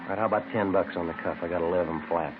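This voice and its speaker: An actor with an American accent playing a hard, impatient police detective in 1950s radio drama, clipped and matter-of-fact. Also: male voice, low voice, fairly even intonation, very fast, background noise, natural voice. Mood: impatient, pushy. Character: hard, impatient undercover police detective posing as hired killer. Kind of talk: 1950s radio drama acting and terse first-person narration. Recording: muffled old radio recording, music behind.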